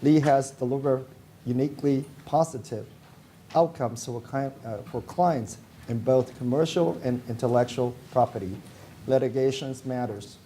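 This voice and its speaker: A man with a soft, mellow voice reads a formal introduction aloud as moderator. His delivery is scripted and now and then trips on a word. Clear noise runs behind him on the live recording. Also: medium pitch. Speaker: panel moderator introducing a speaker. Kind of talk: reading a scripted biography aloud.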